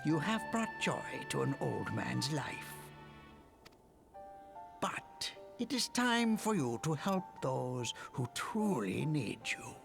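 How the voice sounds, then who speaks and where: An actor playing a gentle, protective old priest in a fantasy game, with a creaky voice and a solemn, humble manner. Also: male voice, a little high, very animated, heavily acted. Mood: tender farewell. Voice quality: gravelly, creaky. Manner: solemn, pleading, unhurried. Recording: music behind the voice.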